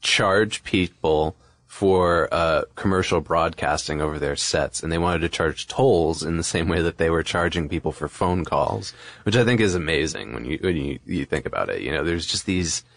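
A man's voice: deep voice, normal speed, gravelly, a bit bright, no room sound. A man chatting and explaining in a casual, discursive way as a podcast co-host. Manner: conversational, discursive.

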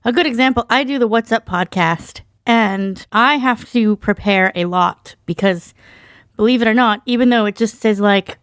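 A woman's voice: mid-range voice, no room sound. Woman chatting in a dry, close recording. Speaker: podcast co-host and staff member being interviewed. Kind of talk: conversational interview answers.

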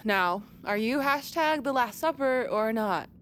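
A woman's voice: fairly high pitch, expressive, measured pace, a bit bright, a little hiss or hum. A woman playing a slangy, affectionate young woman with a casual, wry manner in an audio drama. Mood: wry resolve.

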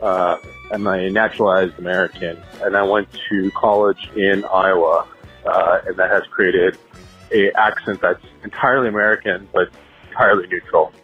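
A man with a very deep, husky voice leaves a calm, matter-of-fact message. The recording is dull and lo-fi, with faint music underneath. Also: normal speed. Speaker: listener voice message.

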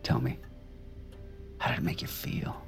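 An actor playing a sinister, controlling male killer who coaxes and instructs his protégée with unhurried menace in an audio drama. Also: whispered, soft background music, natural voice. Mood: coaxing, menacing.

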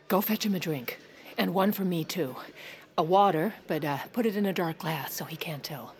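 A woman playing a brisk, steely first lady. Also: fairly low pitch, natural voice. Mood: brisk, sneaky. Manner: brisk, commanding, dry. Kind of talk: comedic audio drama acting.

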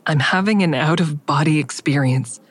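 An anxious woman telling her own story in an audio drama. Her voice is low for a woman. She sounds restrained, guarded and introspective, in both narration and dialogue. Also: normal speed, husky, dry recording. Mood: quiet dread.

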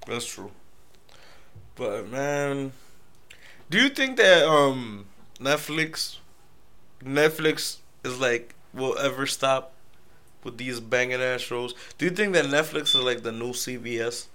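A young man co-hosting a casual podcast chat in a laid-back, agreeable way, often trailing off into low mumbles. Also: animated, slow pace, pauses often, pushed and bright, clean tone. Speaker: podcast co-host.

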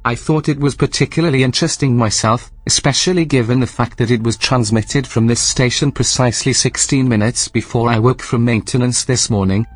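An actor playing a controlling artificial intelligence in a science-fiction audio drama, speaking deliberately with polite, sardonic menace. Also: male voice, mid-range voice, no echo, close to an everyday voice.

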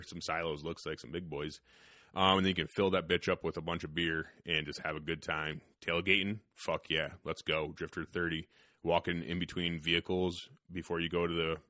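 Deep-voiced, profane, laid-back podcast host. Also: male voice, pushed and bright, no echo, clean studio sound. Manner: profane, rambling, laughs often. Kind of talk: casual podcast chat and ad read. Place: hunting cabin.

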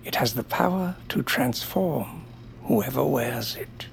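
Genteel, animated male tailor. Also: rumbling low, creaky, a little hiss or hum, full character voice. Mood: courteous, intriguing. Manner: courteous, theatrical, drawn-out musing. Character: genteel, slyly mysterious male tailor shopkeeper creature. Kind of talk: family audio comedy acting.

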